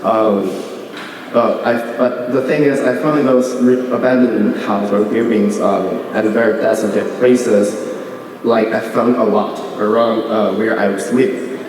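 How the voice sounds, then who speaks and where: A man's voice: on the low side, fairly even intonation, measured pace, darkish tone, a little hiss or hum. Hesitant man in an echoey hall. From Beijing, China. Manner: hesitant, many fillers and restarts. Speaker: visiting artist. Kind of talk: artist talk with slides. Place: art gallery hall. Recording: echoey gallery hall from far off.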